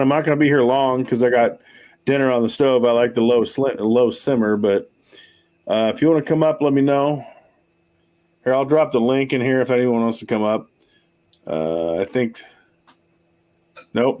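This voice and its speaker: A man's voice: mid-range voice, darkish tone. A man in his forties hosting a casual livestream, rambling and swearing, often mocking himself. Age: 40s. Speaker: livestream host.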